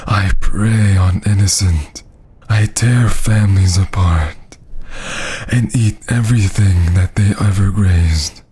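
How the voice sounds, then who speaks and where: A man playing a haughty, menacing vampire lord in an ASMR roleplay, breathy and husky, often dropping to a whisper, with theatrical archaic phrasing. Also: low-pitched, slow pace, mellow, full character voice. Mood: scornful, menacing.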